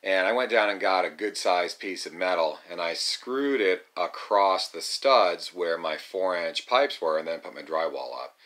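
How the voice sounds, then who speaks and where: A man's voice: sits low, animated, moderate pace, hardly any pauses, fairly bright tone. A man filming his tiny home build with a handheld phone, explaining things in a plain-spoken way with many ums. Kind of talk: casual how-to vlog. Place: unfinished wooden tiny home under construction, indoors. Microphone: handheld phone camera, filming himself off-screen.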